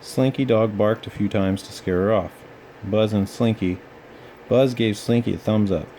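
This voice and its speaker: A man's voice: lowish voice, moderate pace, mellow, a little hiss or hum. A man narrating a children's storybook as a read-aloud storyteller.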